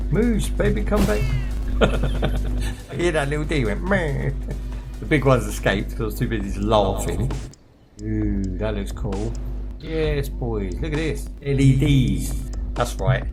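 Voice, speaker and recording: Sex: man. Role: gaming streamer, hoarse. Behind: music. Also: mid-range voice. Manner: rambling, slangy, laughs often. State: losing his voice. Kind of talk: casual gameplay commentary to viewers.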